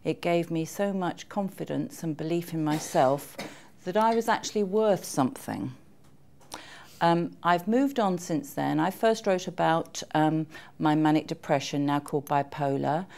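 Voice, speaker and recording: A woman's voice: low-pitched, unhurried, gravelly, a little mellow. A middle-aged woman who is an author and seminar attendee, giving an informal spoken testimonial.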